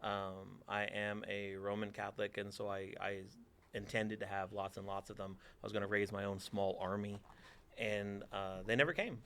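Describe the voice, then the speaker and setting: A man's voice: on the low side, steady tone, moderate pace, a bit bright, a little hiss or hum. A man in his forties from Texas, a theater teacher answering interview questions with dry, teasing humor.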